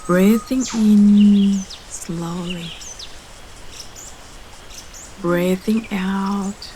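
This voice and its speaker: A woman gently guiding a meditation in a soft voice that turns breathy at phrase ends, soothing and unhurried, with long pauses. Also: medium pitch. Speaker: meditation guide. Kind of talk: guided meditation narration. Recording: clearly audible steady background ambience noise.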